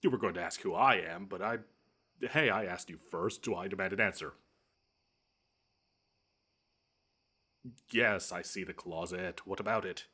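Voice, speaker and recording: A man's voice: low-pitched, brisk, pushed and bright, husky, heavily acted. A man voicing a smug, theatrical monster from under the bed, menacing and easily flustered, in an audio roleplay. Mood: startled, demanding. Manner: theatrical, taunting, haughty. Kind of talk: scripted one-sided audio roleplay.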